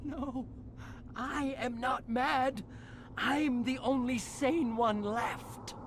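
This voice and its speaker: A man's voice: extremely high pitch, very slow, noisy background, full character voice. A man voicing an unhinged male scientist who prophesies doom in feverish, ominous, half-whispered pronouncements.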